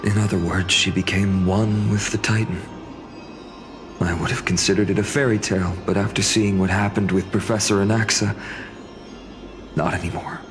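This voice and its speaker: A low-voiced young male adventurer, thoughtful and scholarly. He explains things in measured, formal phrases, in game cutscene style, with clear music behind him. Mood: reflective. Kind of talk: video game cutscene acting. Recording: prominent background music under the voice.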